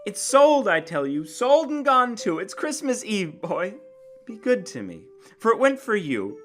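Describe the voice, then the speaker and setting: Man putting on a high-pitched, pleading young wife. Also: very animated, measured pace, soft background music. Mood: pleading, earnest. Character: Della, tender, eager, pleading young wife.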